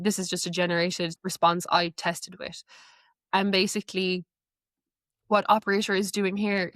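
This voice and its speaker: A woman explains a piece of software in a conversational walkthrough. The sound is dry, with very little room around her voice. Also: medium pitch, no room sound. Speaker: guest, AI knowledge manager.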